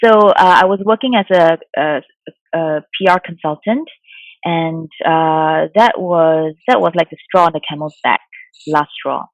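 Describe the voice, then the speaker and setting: A woman from Singapore in her forties, a mindfulness coach answering interview questions in a soft, thoughtful way with many fillers. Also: sits low, unhurried, dry recording. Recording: dull lo-fi sound, dry.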